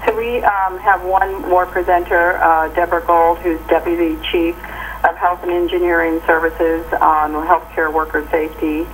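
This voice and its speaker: A woman with a low voice moderates a conference call. She speaks over a telephone line with a faint layer of noise. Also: little pitch movement, moderate pace. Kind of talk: introducing presenters on a call.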